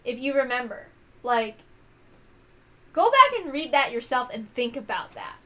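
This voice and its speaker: A woman hosting a read-along, giving casual commentary in a rambling, halting way that often interrupts itself. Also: on the high side, sing-song, bright, forceful tone. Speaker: host reading a visual novel aloud.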